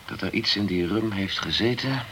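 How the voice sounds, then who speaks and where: An actor playing a sceptical male investigator in a radio crime drama, composed and persistent, with measured, pointed questioning. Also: lowish voice, a bit bright, a little hiss or hum, natural voice. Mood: measured, regretful.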